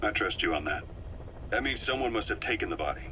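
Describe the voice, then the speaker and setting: An actor playing a wary, brooding veteran intelligence operative, giving briefings in a measured, grave manner for a game. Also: male voice, on the low side, expressive, sharp tone, slight background noise, rough recording. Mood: uneasy, skeptical. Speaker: briefing officer. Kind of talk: dramatic game briefing dialogue.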